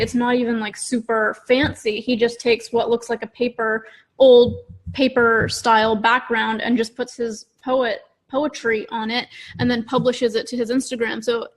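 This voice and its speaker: A woman's voice: a little high, poor audio. A woman co-hosting a podcast, giving advice in an informal, chatty style peppered with "like". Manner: informal, frequent "like". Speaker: podcast co-host. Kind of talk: casual conversational advice talk.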